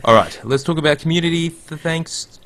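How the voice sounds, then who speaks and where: A man's voice: on the high side, a little fast, fairly bright tone. A man hosting a casual gaming podcast who runs through news and reads a write-up aloud. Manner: reads a write-up aloud in a mock movie-trailer voice. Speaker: podcast host.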